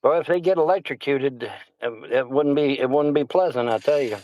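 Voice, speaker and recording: A man's voice: medium pitch, normal speed, a little mellow, lo-fi sound. A man riding as a passenger in a car, mostly agreeing in short, laconic replies. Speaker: passenger and sidekick. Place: inside a car.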